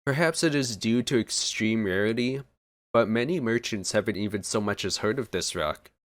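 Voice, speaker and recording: A man's voice: fairly low pitch, normal speed, dry recording. A man giving casual let's play commentary as a streamer, sometimes reading game text aloud. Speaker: gaming streamer.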